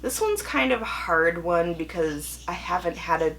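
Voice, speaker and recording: Low-pitched young woman, chatty and candid. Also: animated, normal speed, fairly bright tone, a little hiss or hum, poor audio. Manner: conversational and candid, self-correcting, mimics imagined voices. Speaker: book reviewer and video host. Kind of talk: casual chatty vlog monologue.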